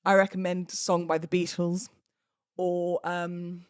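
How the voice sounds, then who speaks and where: A woman's voice: on the low side, unhurried, clear, resonant tone, dry recording. Chatty British woman hosting a podcast, dry sound. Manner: chatty, informal, cheeky, expressive. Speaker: podcast host. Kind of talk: casual solo podcast chat.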